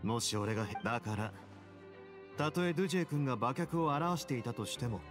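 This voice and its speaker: Actor as composed, sardonic duke of a game prison, cool and assured, clear music underneath. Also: male voice, medium pitch, expressive, brisk, natural voice. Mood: wry, assured. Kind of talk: Japanese game voice acting.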